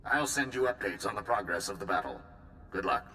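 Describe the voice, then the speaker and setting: A pragmatic supreme commander gives brisk orders in a video game. His voice is lowish but sharp and forceful. There is a faint hiss underneath. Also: lively intonation. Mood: commanding. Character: male Republic supreme commander, pragmatic military leader.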